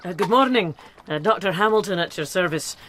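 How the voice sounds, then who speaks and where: Casting: smooth-talking Georgian gentleman doctor, formal and flirtatious, radio drama. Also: lowish voice, lively intonation, a little fast, slight background noise. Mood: courtly, flirtatious.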